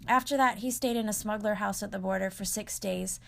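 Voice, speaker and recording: A woman hosting, who reads her narration clearly and asks short, encouraging questions. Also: mid-range voice, fairly quick. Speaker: host and interviewer. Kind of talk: scripted narration and casual interviewing.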